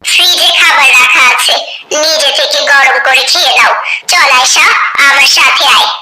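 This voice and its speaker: A woman's voice: high-pitched, normal speed, lightly acted. A woman voicing a stern, resentful elder wife and mother in a cartoon, curt and scolding, in a noisy, echoey recording. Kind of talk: cartoon dialogue.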